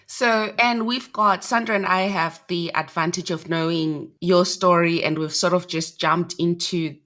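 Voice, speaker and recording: A woman hosting a podcast, chatting with a close friend, excited and laughing often as she talks. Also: fairly low pitch, unhurried. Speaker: podcast host. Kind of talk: casual chat.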